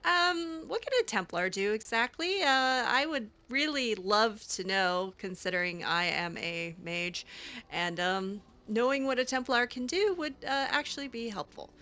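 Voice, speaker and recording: A woman's voice: on the high side, animated, unhurried, sharp tone. A woman improvising in-character roleplay as she plays a game, chatty, hesitant and wry. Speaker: gaming channel host roleplaying a mage character.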